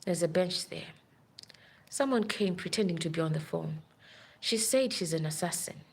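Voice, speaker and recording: A woman's voice: lowish voice, animated, normal speed, creaky, breathy edge. A woman who works as a nurse, telling a long story in a rambling, urgent way on a live stream. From Africa.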